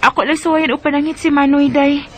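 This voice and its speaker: A woman's voice: sits high, level tone, brisk, soft background music, lightly acted. A woman playing a sweet, cautious schoolgirl and devoted younger sister in a Filipino Bisaya radio drama. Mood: hesitant.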